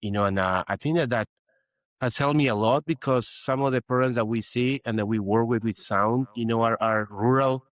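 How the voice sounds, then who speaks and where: A man's voice: medium pitch, moderate pace, dry recording. A middle-aged man from Peru, a guest physician in an interview, explaining earnestly and often saying "you know". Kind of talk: interview conversation.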